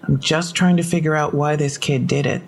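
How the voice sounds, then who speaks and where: A woman's voice: sits low, steady tone, fairly quick, background noise. A woman acting a businesslike police detective in an audio drama, speaking in a matter-of-fact, slightly awkward way. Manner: matter-of-fact, clipped case briefing.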